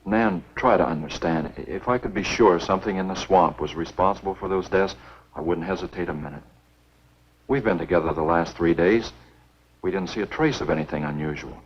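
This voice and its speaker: Deep-voiced stubborn American game warden. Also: male voice, brisk, breathy edge. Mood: reasoning, firm. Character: stubborn, skeptical male game warden in a horror film. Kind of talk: 1950s film acting. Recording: dull, lo-fi 1950s film soundtrack.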